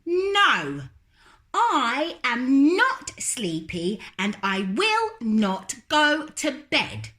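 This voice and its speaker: Woman doing a high, stubborn little storybook girl. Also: huge pitch swings, bright, forceful tone, heavily acted. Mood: stubborn, defiant. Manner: emphatic, drawn-out protesting delivery. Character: small funny stubborn little girl, dramatic and imaginative.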